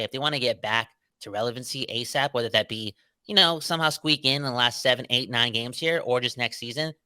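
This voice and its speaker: Male podcast co-host, casual and emphatic, slangy banter, forceful tone. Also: mid-range voice, no echo. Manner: animated, slangy, emphatic, says "bro" and "right?". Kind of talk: casual sports debate.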